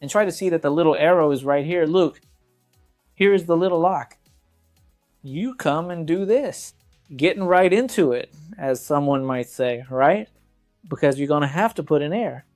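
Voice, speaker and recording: A man's voice: fairly high pitch, animated, moderate pace, darkish tone, faint music behind. A man presenting a casual hands-on product review, talking conversationally and directly to viewers.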